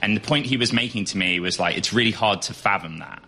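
A man who hosts a tech show joins as a guest for loose chat. His voice is on the low side but bright and forceful. He restarts sentences often as he talks. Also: fast, breathy edge. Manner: chatty, laughing, many restarts. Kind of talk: casual chat.

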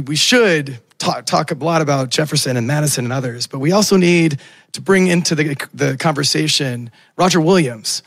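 A man who is a Baptist minister, speaking as a panelist with a raspy voice from illness, earnest and thoughtful. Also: fairly high pitch, fairly bright tone, dry recording. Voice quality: gravelly. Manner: earnest, thoughtful, with small laughs. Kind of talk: panel discussion remarks.

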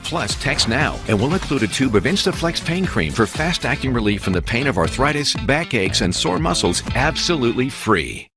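A male commercial announcer with a lively, swinging intonation, pushing the listener to act now. Music sits clearly behind him. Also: mid-range voice. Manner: brisk call to action.

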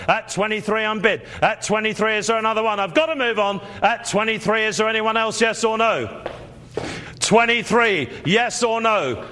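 High, bright-voiced man calling auction bids. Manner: rapid repetitive bid calling, urging buyers. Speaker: auctioneer hosting a televised art auction.

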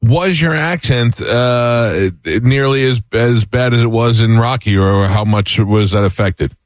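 A man's voice: medium pitch, measured pace, dry recording. A middle-aged man hosting a morning radio show, rambling and self-deprecating as he interviews a guest, often tripping over his words.

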